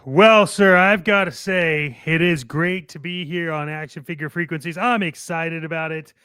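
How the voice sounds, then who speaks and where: Clean, high-voiced enthusiastic male radio co-host. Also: steady tone, moderate pace, pushed and bright, smooth, no room sound, clean studio sound. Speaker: co-host of a toy collecting show. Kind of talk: casual radio show chat and playful ad reads.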